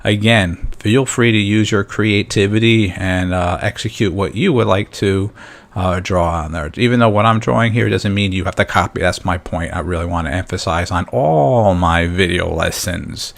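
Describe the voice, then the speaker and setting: A man with a fairly low voice and plenty of vocal fry leads a drawing lesson. He talks casually, step by step, thinking aloud as he works. His manner is encouraging and informal. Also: normal speed. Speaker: drawing instructor and host.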